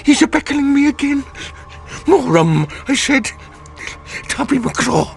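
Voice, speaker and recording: An actor playing a drunken old pirate, raving erratically in a strained, creaky voice in a radio drama. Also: male voice, very high-pitched, big pitch swings, measured pace, faint music behind, heavily acted. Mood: crazed, demanding.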